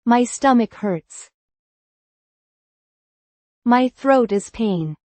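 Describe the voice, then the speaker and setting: A woman reading short English lesson phrases in a slow, even drill style. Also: a little high, dry recording. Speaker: English teacher voice.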